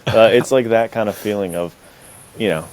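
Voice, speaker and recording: Man, podcast co-host, slightly low pitch, effusive, casual fan chat. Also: moderate pace, a little mellow, slight background noise, low-quality recording. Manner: gushing, effusive fan talk with frequent "like".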